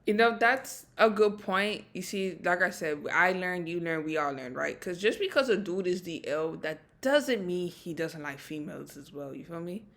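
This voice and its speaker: A woman's voice: lowish voice, animated, moderate pace, hardly any pauses, fairly bright tone. A woman co-hosting a casual reaction chat, laid-back and slangy, often checking in with "you know what I mean". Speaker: co-host.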